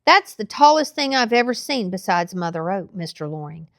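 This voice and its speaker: A woman's voice: mid-range voice, sing-song, moderate pace. A woman voicing a curious, hopeful young boy with an earnest, polite manner, in a storybook reading. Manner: earnest, questioning.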